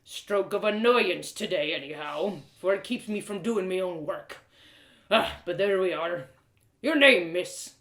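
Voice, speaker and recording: Woman putting on a blustering gruff old blacksmith. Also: lowish voice, lively intonation, unhurried, fairly bright tone, echoey room. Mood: gruff, proud. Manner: rustic dialect, blustering exclamations. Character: gruff, stocky, red-bearded old male blacksmith, scolding yet genial. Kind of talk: storybook character voice.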